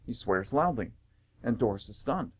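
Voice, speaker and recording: Narrator, male, mid-pitched, lively intonation, scripted stage directions for radio drama. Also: moderate pace, breathy edge.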